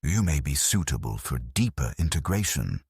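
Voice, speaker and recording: A pitiless cyborg priest of machines, as a male narrator puts him on, speaks in a very deep, husky voice. He is clipped, clinical and commanding, a cold instructor from an audio sci-fi story. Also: sing-song, moderate pace, darkish tone, no room sound, clean studio sound, exaggerated voice. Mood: clinical approval.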